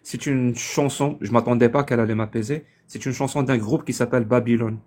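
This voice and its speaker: A man speaks firmly in a live online debate, hammering his point with repeated, pointed questions. His voice sits at a middle pitch. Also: fairly even intonation, a little fast, a little mellow. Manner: insistent, pressing questions.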